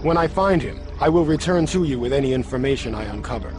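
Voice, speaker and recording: A man's voice: mid-range voice, animated, fast talker, a little mellow, soft background music, close to an everyday voice. A male actor playing an earnest, polite martial arts hero in a fighting game, formal and respectful. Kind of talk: fighting game cutscene acting.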